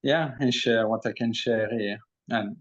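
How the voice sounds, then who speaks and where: A man in his forties from Belgium with a French accent, a podcast guest and energy healer, talking in a halting way with many pauses and fillers. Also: on the low side. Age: 40s. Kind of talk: casual interview talk. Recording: dull lo-fi audio.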